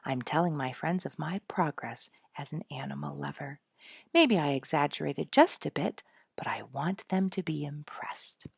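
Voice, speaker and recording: A woman's voice: low voice, lively intonation, moderate pace, creaky, husky, no room sound, clean studio sound, heavily acted. A woman voicing a busy, self-satisfied mother in a read-aloud story, speaking with emphasis. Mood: smug. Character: busy, smug mother, a hesitant animal lover.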